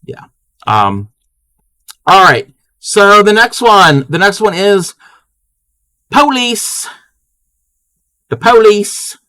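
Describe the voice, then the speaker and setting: A man's voice: high voice, big pitch swings, extremely slow, long pauses, bright, forceful tone, no room sound. A man from Maryland who chats casually on a crafting live stream, answering his chat as he works and often restarting his sentences. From Maryland, USA.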